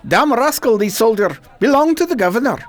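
Animated foreign shopkeeper, acted out, swooping pitch. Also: fairly quick, natural voice. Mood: agitated, emphatic. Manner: broken English, short emphatic repeated phrases. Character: talkative foreign male shopkeeper in a bazaar. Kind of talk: radio drama acting.

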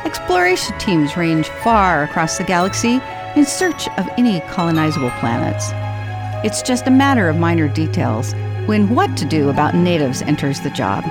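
A woman's voice: on the low side, big pitch swings, moderate pace. A woman announcer reading a scripted introduction to a radio drama. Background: music.

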